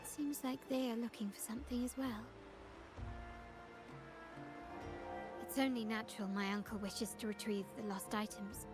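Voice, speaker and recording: A woman playing a composed, shrewd young noblewoman of a crime family in a video game, speaking in a measured, formal way. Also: on the high side, steady tone, over music, lightly acted. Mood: cool, instructive.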